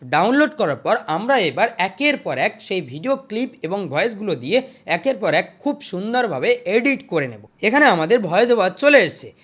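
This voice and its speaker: Tutorial host, mid pitch, instructional, step-by-step narration, repeats each step. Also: very animated, moderate pace, fairly bright tone.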